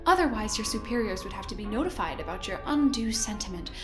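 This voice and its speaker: An actress playing a smooth, patronizing woman who directs a research project on a spaceship in an audio drama, with mock-sweet control. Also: mid-range voice, lively intonation, quick pace, close to an everyday voice. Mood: pointed, accusing. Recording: roomy, echoey sound, music underneath. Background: music.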